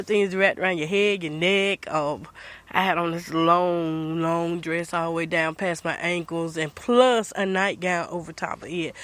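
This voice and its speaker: A woman's voice: on the low side, slight background noise. Congested older woman, chatty. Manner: chatty, animated, sing-song Southern talk. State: congested from a cold. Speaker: podcast host. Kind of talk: casual storytelling to viewers. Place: rural home.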